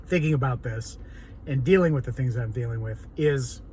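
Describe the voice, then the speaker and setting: A man with a hoarse, raw, raspy voice, talking earnestly and rambling in an unscripted personal vlog monologue. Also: mid-range voice, a little fast, darkish tone, a little hiss or hum. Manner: rambling and earnest, many ums and restarts. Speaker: vlogger.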